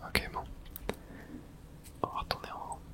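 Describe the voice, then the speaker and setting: A man whispering ASMR video game commentary, murmuring short reactions to himself. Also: moderate pace.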